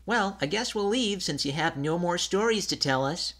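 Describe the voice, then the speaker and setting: An eager little storybook mouse, acted out by a man. The voice sits far up high and sounds small and young. It is curious and keen, made for a children's tale. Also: lively intonation, normal speed, a bit bright. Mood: chirpy.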